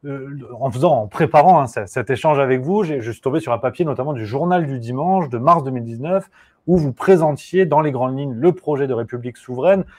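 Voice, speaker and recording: A man hosting an interview, asking questions in a measured way and summing up points from his notes. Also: medium pitch, a little mellow. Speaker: interviewer, host.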